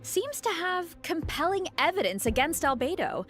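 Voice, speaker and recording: A woman's voice: very high voice, sing-song, moderate pace, fairly bright tone. A woman presenting a program in a scripted conversational style. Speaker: presenter of a game special program. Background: music.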